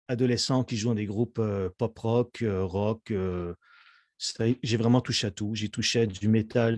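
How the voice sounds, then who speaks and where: A man's voice: mid-range voice, a little mellow, no room sound. Hesitant man, dry sound. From Quebec, Canada. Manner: hesitant, many fillers and restarts. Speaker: musician guest. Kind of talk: casual interview chat.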